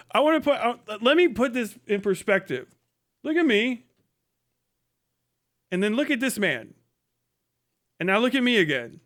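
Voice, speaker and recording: A man's voice: high voice, a bit bright. A middle-aged man with a blunt, mocking manner, swearing casually through a comedic livestream rant. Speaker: live streamer, host.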